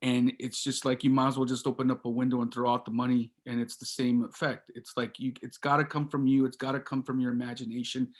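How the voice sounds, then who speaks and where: A man who runs a music company, answering a panel question in a halting way with many restarts. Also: medium pitch, level tone.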